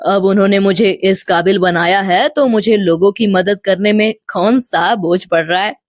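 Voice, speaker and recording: A woman voicing a kind, devout village father with a gentle, humble manner in a storybook tale. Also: mid-range voice, moderate pace, no echo, clean studio sound, pitched below the performer's own voice, close to an everyday voice. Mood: humble, friendly.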